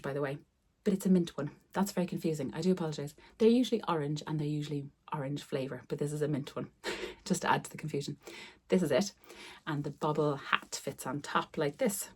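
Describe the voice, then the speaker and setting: Hoarse low-voiced woman vlogging. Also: a little mellow. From Ireland. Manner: friendly, repeats words for emphasis. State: losing her voice, hoarse. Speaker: sewing vlogger. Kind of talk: casual chatty vlog. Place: room upstairs at home.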